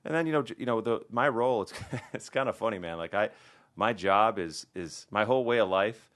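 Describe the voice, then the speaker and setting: Low-pitched, fast-talking male guest, easygoing and conversational. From American Midwest. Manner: relaxed, conversational, many restarts and "you know". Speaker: plastic surgeon guest. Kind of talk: casual podcast interview.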